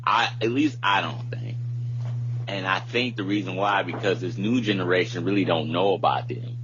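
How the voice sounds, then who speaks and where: Low-voiced man chatting, muffled hum. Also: a bit bright. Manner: informal, slangy, rambling delivery. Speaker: podcast co-host. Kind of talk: casual chat. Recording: muffled lo-fi audio with background hum.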